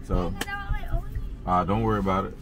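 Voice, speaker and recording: A man's voice: low voice, level tone, moderate pace. A man, chatting in a folksy, laid-back way with slang while driving on a road trip. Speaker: vlogger. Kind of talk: casual chat while driving. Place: inside a car on the road. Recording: car and road noise behind.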